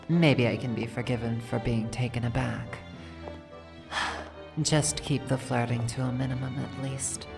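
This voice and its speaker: A woman acts out a regal, reserved female dragon for an ASMR roleplay. Her voice is extremely low and creaky, and she speaks slowly, formally and guardedly. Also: full character voice.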